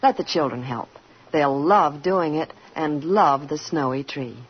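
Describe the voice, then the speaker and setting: A woman's voice: low-pitched, a little slow, husky. A woman reading a scripted 1940s radio commercial, giving instructions. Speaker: commercial announcer. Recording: dull 1940s radio recording.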